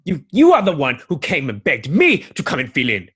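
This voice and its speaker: An actor playing a big, scary, proud spider god in a comic radio play, husky and formal in manner. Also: mid-range voice, wildly swooping pitch, normal speed, a bit bright, exaggerated voice. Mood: indignant. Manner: formal, haughty.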